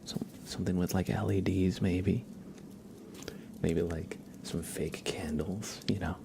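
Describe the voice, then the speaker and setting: Male ASMR streamer, deep register, soft-spoken drifting into whisper, informal and rambling, noticeable background ambience. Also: fairly even intonation, measured pace. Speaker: ASMR livestream host. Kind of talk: whispered, chatty live ASMR. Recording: clear background ambient noise.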